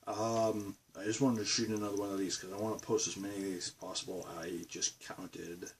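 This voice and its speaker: A man's voice: lowish voice, flat delivery, a little fast, recorded from a distance, a little hiss or hum. A man with a Boston accent rambling casually to his camera from his bedroom studio. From Massachusetts, USA. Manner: rambling, meandering talk. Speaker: vlogger.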